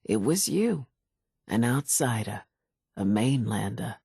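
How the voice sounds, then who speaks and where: An actress playing a vengeful, folksy old islander woman in a video game, with a weathered, husky voice and a deliberate storytelling way of talking. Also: very deep voice, sing-song, warm tone, no echo, clean studio sound, heavily acted. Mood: solemn, relieved. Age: older. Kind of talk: video game character dialogue.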